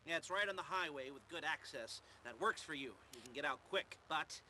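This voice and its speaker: An actor playing a snarky, awkward middle-aged American criminal planner in a video game, rambling in a sardonic, nasal way. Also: male voice, fairly high pitch, lively intonation, brisk. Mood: wry briefing. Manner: rambling, sardonic, awkward muttered asides and chuckles. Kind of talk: video game cutscene dialogue. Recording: loud car engine and road noise.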